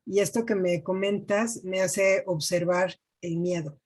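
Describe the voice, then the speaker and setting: A woman's voice: fairly low pitch, fairly even intonation, unhurried, darkish tone, slightly rough. A woman interviewing a guest, asking thoughtful and attentive questions.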